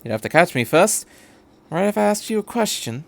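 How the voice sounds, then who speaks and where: A man voicing a brisk, quirky time-travelling alien in a story reading, breezy and matter-of-fact in conversation. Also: high-pitched, very animated, creaky, voice pushed up, heavily acted.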